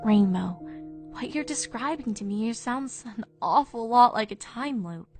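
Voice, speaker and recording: A woman playing a sensible, inquisitive young female pony in a fan audio drama, articulate and gently skeptical. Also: fairly high pitch, expressive, a little slow, music in the background. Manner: questioning, articulate. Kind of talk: animated fan-fiction audio drama acting.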